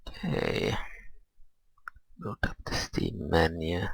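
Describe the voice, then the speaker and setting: A man giving casual gameplay commentary, thinking aloud in a hesitant, grumbling, husky and gravelly way. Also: low-pitched, huge pitch swings, slow pace, no room sound. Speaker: gamer recording gameplay commentary.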